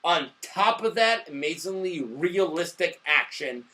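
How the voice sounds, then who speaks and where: A drunk man co-hosting a casual movie review, rambling emphatically and excitably and swearing freely. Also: on the high side, unhurried. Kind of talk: casual profane movie chat.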